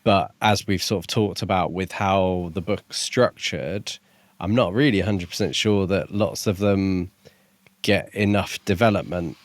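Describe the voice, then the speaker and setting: A man with a fairly low voice co-hosts a casual book podcast. He thinks aloud in a reflective, somewhat hesitant way, circling toward his point. The recording is dry and close, with no room sound. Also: measured pace, no room sound.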